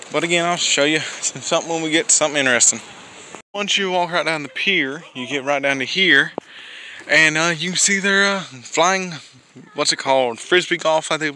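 A man's voice: a little high, sharp tone. A young man, narrating a casual walking tour outdoors, rambling and often correcting himself. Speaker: vlogger. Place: outdoors at a lake park boardwalk.

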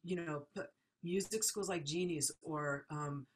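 A woman's voice: deep voice, a little slow, breathy edge. A woman music educator speaking on a video call panel, thoughtful and hesitant, with many fillers and restarts. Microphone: video call laptop mic.

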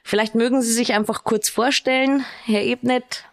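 A woman's voice: a little high, steady tone, normal speed. A woman hosting a podcast, putting interview questions to her guests in a conversational way. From Regensburg, Bavaria. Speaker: podcast host.

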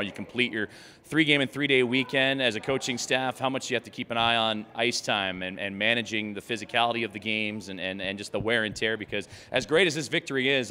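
Bright, fast-talking sports interviewer man. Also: lowish voice, a little hiss or hum. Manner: long, stat-filled, upbeat questions. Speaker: team broadcaster interviewer.

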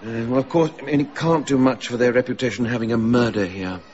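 An actor playing a fussy, bumbling author in a radio drama, hesitant and over-polite, eager to please. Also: male voice, mid-range voice, quick pace, a little mellow, natural voice. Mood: flustered, probing. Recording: slightly dull recording.

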